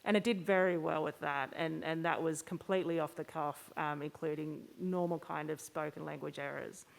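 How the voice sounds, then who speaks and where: A woman moderating a question-and-answer session, speaking in hesitant, halting phrases. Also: sits low, steady tone, creaky, rough recording.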